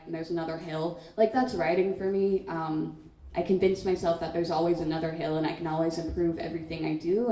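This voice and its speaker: A young woman speaks thoughtfully at a school book launch, often pausing with "um" and "like". Her lowish, gravelly voice sounds mellow and sits at a distance from the mic. The hall around her rings with echo. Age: teens. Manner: thoughtful, many "um" and "like" fillers. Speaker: student writer on a panel. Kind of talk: reflective panel answer. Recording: echoey room, far from mic.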